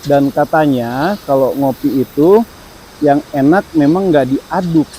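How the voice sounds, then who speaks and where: A man's voice: on the high side, warm tone, a little hiss or hum, poor audio. A man, hosting a casual chat at an outdoor campsite in a friendly, joking way. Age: 30s. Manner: friendly, joking, quick to agree. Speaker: campsite host.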